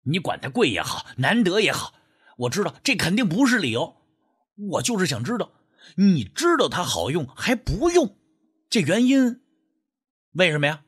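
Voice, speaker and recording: A man's voice: sits high, huge pitch swings, moderate pace, pauses often, husky, full character voice. A man voicing an eager medical student in an audiobook, insistent and exclamatory, pleading persistently. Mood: puzzled, insistent.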